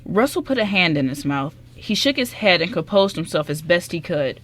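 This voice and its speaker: A woman's voice: lowish voice, sing-song, moderate pace, fairly bright tone. Woman narrating a radio drama script.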